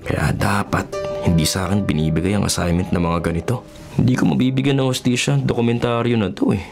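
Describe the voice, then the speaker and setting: A young Filipino journalist in a radio drama, a self-reliant skeptic with no faith. His voice sits low and he talks in a questioning, conversational way over clear background music. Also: steady tone, quick pace. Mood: bitter, scornful. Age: 20s.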